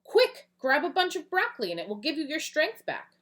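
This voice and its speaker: A woman's voice: high voice, huge pitch swings, fairly quick, fairly bright tone, voice pushed up, full character voice. A woman voicing a kind, fussing farm lady in a children's story, flustered and motherly. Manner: flustered, pleading, then motherly.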